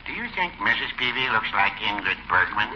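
Mild older neighbour, dry and hesitant, lively inflection, muffled 1940s radio comedy. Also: male voice, on the low side, brisk, very noisy. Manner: hesitant, meek, dry understatement.

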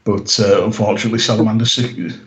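Low-voiced rambling man. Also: steady tone, fast talker, echoey room. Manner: rambling, heavy on fillers like "you know" and "uh". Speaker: podcast co-host. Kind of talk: casual episode recap chat.

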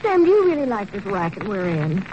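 An actress playing a devoted, fretful secretary to a private detective in a 1940s radio drama. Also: female voice, mid-range voice, sing-song, brisk, a little mellow, lightly acted. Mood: wistful. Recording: dull, faintly noisy old radio recording.

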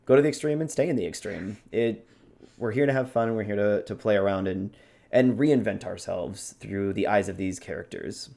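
A man's voice: fairly low pitch, normal speed, creaky, dark tone. A man hosting a show, explaining techniques in a casual, encouraging and instructional way. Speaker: show host giving role-playing tips.

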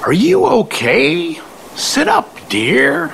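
A man's voice: extremely high pitch, huge pitch swings, gravelly, a bit bright, a little hiss or hum, full character voice. A man acting a wry, elderly, folksy confidant who speaks in aphorisms in an audio play. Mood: concerned. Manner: folksy, slow, quoting aphorisms.

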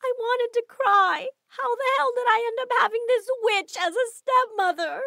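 Woman acting a high-pitched, resentful young daughter. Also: animated, measured pace, a bit bright, dry recording. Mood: indignant. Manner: emotional, indignant outbursts.

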